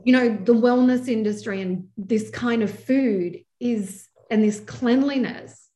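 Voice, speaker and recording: High-voiced Australian woman, joking guest. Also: dark tone. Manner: joking, self-deprecating, quick to laugh. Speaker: podcast guest. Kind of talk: casual chat.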